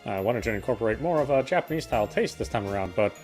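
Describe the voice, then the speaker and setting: A man's voice: lowish voice, expressive, rapid-fire, a little mellow. A man reading a visual novel's narration and dialogue aloud over game music. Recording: music playing behind the voice.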